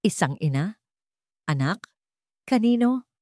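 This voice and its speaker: A woman's voice: mid-range voice, very animated, slow, dark tone, dry recording, clean studio sound, voice pushed up. A woman with a Filipino accent voicing a curt, guarded, wealthy young businessman in a dramatic audio story. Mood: shocked. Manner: terse, commanding.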